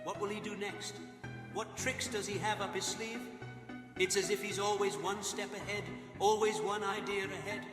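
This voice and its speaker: A man's voice: high-pitched, steady tone, normal speed, lightly acted. A man playing a fretful opera manager. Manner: fretful, exasperated dramatic reading. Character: anxious male opera house manager. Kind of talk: audio drama acting. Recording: echoey hall reverb with music.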